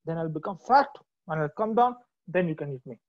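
A woman's voice: low voice, expressive, fairly quick, a little mellow, dull audio, voice pushed down. A woman playing a clever thin old lady. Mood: coaxing.

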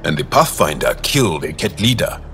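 Deep, earnest alien warrior. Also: male voice, big pitch swings, brisk, a bit bright, soft background music, full character voice. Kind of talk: dramatic game voice acting.

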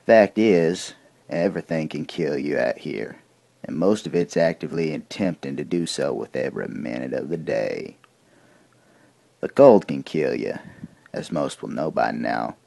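An actor playing a grim, weathered army corporal of 1880s America, telling his account in a deliberate, folksy, gravelly way for an audio drama. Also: rumbling low, darkish tone, dry recording, exaggerated voice. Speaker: narrator of a soldier's account. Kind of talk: dramatic first-person audio drama monologue.

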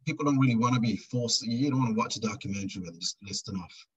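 Middle-aged guest, husky, thoughtful, video-call sound. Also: male voice, on the low side, fast talker, poor audio. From Britain. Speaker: documentary maker, guest. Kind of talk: casual interview chat.

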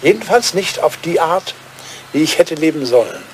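Radio play casting: male actor for a lonely old aristocrat, wistful and insistent, fairly high-pitched, on a slightly noisy vintage recording. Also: lively intonation, normal speed, lightly acted. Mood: pleading, bitter. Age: older. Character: wistful old English lord, lonely lovelorn bachelor writer. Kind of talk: German radio drama acting, drawing-room dialogue.

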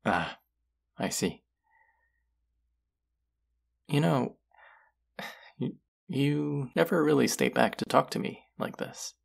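A man's voice: mid-range voice, lively intonation, slow, gravelly, pauses often, exaggerated voice. A man doing a teasing young barista. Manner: playful, teasing, chatty. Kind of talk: audio roleplay for a listener.

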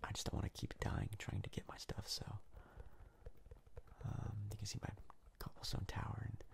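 A man giving hushed, casual ASMR game commentary in a breathy, gravelly whisper, close to the mic. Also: on the low side, monotone. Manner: hushed, casual, unhurried rambling. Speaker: gaming video host.

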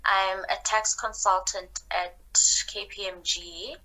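A woman in her twenties introducing herself in an unhurried, giggly way during a casual video call. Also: mid-range voice, fairly even intonation, sharp tone, poor audio. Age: 20s. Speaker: guest. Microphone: laptop or phone on video call.

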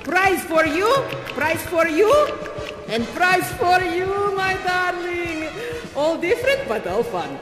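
A man's voice: very high-pitched, very animated, full character voice. A man playing a gushing, theatrical prima ballerina dance instructor, grandiose and doting, performing live on stage as a contest host. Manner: effusive, grandiose, doting, drawn-out delivery. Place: large echoey stage venue. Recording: echoey hall PA, music behind.

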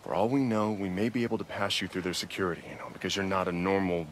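A man's voice: deep voice, fairly even intonation, quick pace, a little hiss or hum, lightly acted. An actor playing a sensible, cautious American man in a video game, reasoning with exasperation. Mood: cautious, exasperated. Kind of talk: video game cutscene acting.

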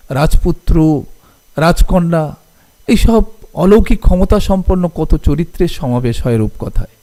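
A man teaching literature to students, speaking in deliberate short phrases and often posing questions as he explains. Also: fairly high pitch, warm tone.

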